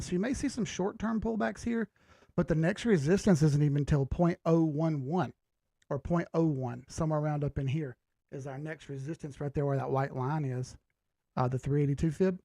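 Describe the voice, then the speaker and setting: Guy livestreamer talking off the cuff, bit high pitched but croaky, super slangy, dry sound no room. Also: moderate pace, mellow, no room sound. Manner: informal, slangy, thinking aloud. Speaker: host of a live market analysis stream. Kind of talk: casual live chart commentary.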